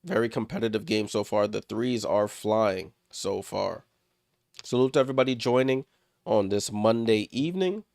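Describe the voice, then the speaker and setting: Gravelly man calling plays, under the weather. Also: on the low side, normal speed, darkish tone, dry recording, clean studio sound. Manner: short clipped play calls with chatty asides to viewers. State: under the weather, a bit congested. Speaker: watchalong stream host. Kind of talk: casual live play-by-play commentary.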